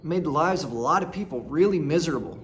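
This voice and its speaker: A man's voice: on the high side, lively intonation, quick pace, a little hiss or hum. Blunt folksy storytelling man. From rural Nebraska. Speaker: storyteller host. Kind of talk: casual personal storytelling.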